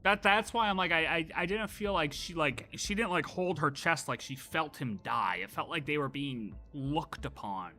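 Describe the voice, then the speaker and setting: A man giving casual live playthrough commentary, thinking out loud in a rambling, speculative way with repeated half-phrases. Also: a little high, lively intonation, normal speed, few pauses. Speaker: game streamer.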